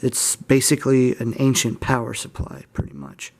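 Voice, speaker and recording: A man's voice: medium pitch, very animated, normal speed, vocal fry, a little mellow. Casual young man showing off gear. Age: early 20s. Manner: unscripted, unsure, self-deprecating. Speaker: hobbyist video host. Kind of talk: casual informal show-and-tell.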